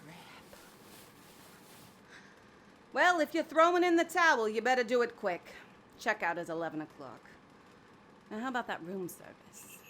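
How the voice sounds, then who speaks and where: A woman's voice: on the high side, expressive, moderate pace, pauses often, fairly bright tone. A woman voicing a brash, scornful, persuasive magic hat in an audio drama, sardonic and theatrical. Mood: mocking, scornful. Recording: faint background noise.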